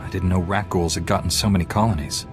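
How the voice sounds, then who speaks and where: An actor playing a calm, principled, resolute heroic knight in a video game, earnest and firm, with music behind. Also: male voice, low voice, fast talker, close to an everyday voice.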